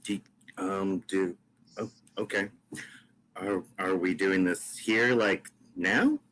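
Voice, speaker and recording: A man's voice: low-pitched, slow pace, fairly bright tone, a little hiss or hum, low-quality recording. A man reading the part of a woman, the hero's ex-girlfriend, in an amateur podcast table read, with a hesitant, stumbling delivery.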